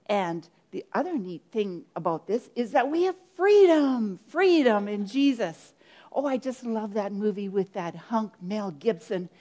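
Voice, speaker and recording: A woman's voice: mid-range voice, lively intonation, unhurried, warm tone. A woman doing a gushing hippie churchgoer. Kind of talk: church comedy sketch.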